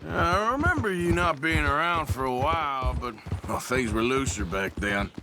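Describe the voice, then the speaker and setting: An actor playing a gruff, laconic American outlaw gunslinger in a western video game, sceptical but loyal, with a drawling delivery. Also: male voice, medium pitch, lively intonation, normal speed, noisy background, natural voice. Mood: reserved. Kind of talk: video game character acting.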